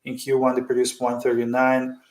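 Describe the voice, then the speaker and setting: Male host, informal market commentary, wordy and circling, narrow pitch range, echoey room sound. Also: completely flat delivery, fairly quick. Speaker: host of an investing commentary show. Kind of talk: informal off-the-cuff financial commentary.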